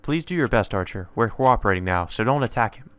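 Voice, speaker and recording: A man voicing a brisk, bossy teenage mage girl, blunt but fair, in a visual novel read-aloud. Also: on the low side, lively intonation, a bit bright, smooth.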